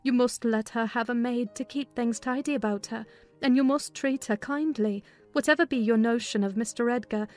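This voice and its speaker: A woman playing a sensible, outspoken housekeeper who narrates a dramatized gothic tale in a measured, respectful but frank way. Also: on the high side, a little mellow, close to an everyday voice.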